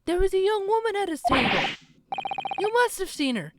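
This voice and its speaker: A woman voicing an earnest young male defense lawyer in a game, speaking in an urgent, pleading way over loud game music. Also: very high-pitched, very animated, normal speed, very noisy, pitched above the performer's own voice. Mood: insistent.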